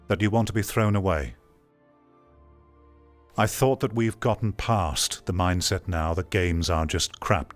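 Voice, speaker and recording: Low-pitched man giving blunt commentary. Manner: blunt, dismissive, argumentative. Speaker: video commentator. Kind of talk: opinionated commentary, reading an article aloud and reacting.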